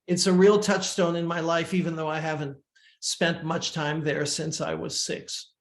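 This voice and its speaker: An older man from Uruguay, a musician guest, talking in a measured, reflective way with frequent restarts. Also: on the high side, level tone. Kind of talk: casual interview talk. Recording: echoey room.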